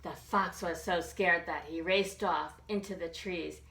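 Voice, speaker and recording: A woman reading aloud as a children's library storyteller, expressive and animated, in simple child-directed language. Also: fairly low pitch, measured pace. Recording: roomy echo with background noise.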